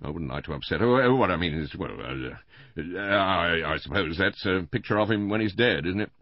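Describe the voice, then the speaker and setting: A man voicing a gossipy middle-aged British husband in an audiobook, stammering, rambling and excitable. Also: sits low, brisk, no echo, pitched above the performer's own voice. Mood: flustered. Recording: slightly dull, lo-fi dry recording.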